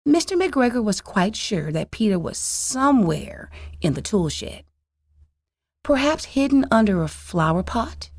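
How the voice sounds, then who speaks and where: A woman reading a children's story aloud as expressive narration, phrasing it deliberately and dramatically for young listeners. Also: fairly high pitch, no room sound, studio quality.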